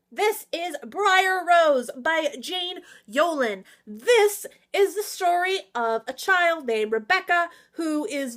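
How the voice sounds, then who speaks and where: Bright, high-pitched excitable woman vlogger. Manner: excitable, gushing, emphatic and slangy. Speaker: book reviewer and vlog host. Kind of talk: casual, opinionated book recommendation chat to viewers.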